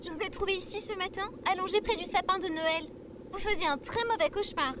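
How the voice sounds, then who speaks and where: A woman playing a caring, innocent companion in a French audio drama, polite, soothing and expressive. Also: very high-pitched, fast, background noise. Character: caring, innocent female companion, brightly enthusiastic. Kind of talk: scripted French audio fiction acting.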